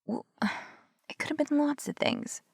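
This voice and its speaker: A woman's voice: a little slow, darkish tone, dry recording, clean studio sound. A young woman performing a one-sided audio roleplay as a skeptical investigator, arguing and sarcastic, sometimes dropping to a whisper. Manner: skeptical, argumentative, sarcastic, swears casually.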